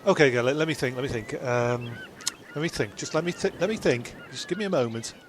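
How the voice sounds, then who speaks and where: A man's voice: medium pitch, quick pace, noisy background. A man in an amateur comedy audio drama, dithering and stubborn as he argues for his own ideas. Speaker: cast member and writer.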